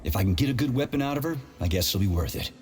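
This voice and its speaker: An actor playing a blunt, short-tempered, sarcastic army major in a video game, given to muttering and swearing. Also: male voice, medium pitch, expressive, very fast, a little mellow, a little hiss or hum, close to an everyday voice. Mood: irritated. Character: gruff, foul-mouthed, short-tempered Soviet major, sarcastic soldier. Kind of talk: video game character dialogue.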